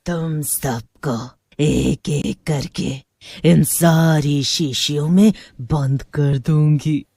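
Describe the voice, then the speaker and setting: A woman voicing a sinister old village granny who is secretly a witch in a horror cartoon, slow and menacing. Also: low voice, creaky, warm tone, no echo, studio quality, exaggerated voice. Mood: menacing, gleeful. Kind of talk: Hindi horror cartoon voice acting.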